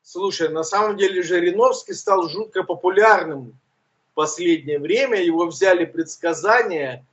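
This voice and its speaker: A man with a burred, uvular R, co-hosting a casual news chat and talking in a relaxed, self-mocking way with many fillers. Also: high voice, normal speed, dull audio. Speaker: co-host, journalist.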